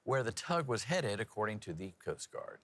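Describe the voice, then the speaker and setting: A man's voice: fairly low pitch, sing-song, normal speed, a bit bright. A man working as a TV news anchor and reporter, with a folksy, conversational, jokey way of presenting.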